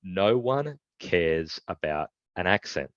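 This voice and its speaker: A man's voice: deep voice, big pitch swings, slow pace, no echo. A middle-aged man, a guest talking confidently and emphatically about recruiting staff. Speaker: guest, recruitment business owner. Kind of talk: business interview. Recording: dull, dry remote audio.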